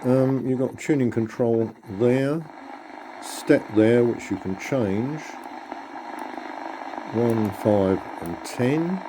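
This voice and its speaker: A man presenting a radio receiver in a casual, unhurried, matter-of-fact demonstration. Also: medium pitch, background noise.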